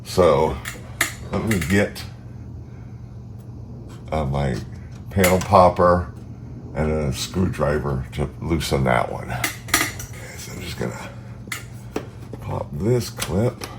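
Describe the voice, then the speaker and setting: Stuffed up guy in his garage, slow deep voice, noisy clanking in the background. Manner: relaxed, chatty, thinking aloud with fillers. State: stuffy nose from allergies. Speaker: car channel host. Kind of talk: casual how-to garage vlog. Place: home garage beside a car.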